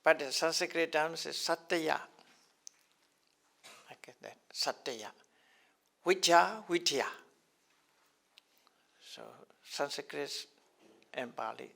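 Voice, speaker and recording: A slightly high, gravelly older man who speaks English as a second language, teaching a class. He talks very slowly, stops and repeats each term, and chuckles often. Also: sharp tone. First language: not English. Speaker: Buddhist teacher. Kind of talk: explaining scriptural terms to a class.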